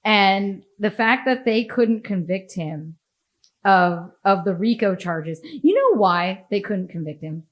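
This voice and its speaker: A woman's voice: mid-range voice, animated, slow. A woman with an American accent hosting a podcast, talking in a casual, opinionated way, blunt and sweary, laughing often. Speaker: podcast host.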